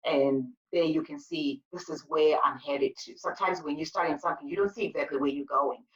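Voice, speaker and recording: A woman's voice: low-pitched, brisk, far from the mic, highs cut off. A woman from Zimbabwe, a spa owner, answering interview questions in an earnest storytelling way with frequent fillers. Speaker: guest, spa owner and sugar paste maker.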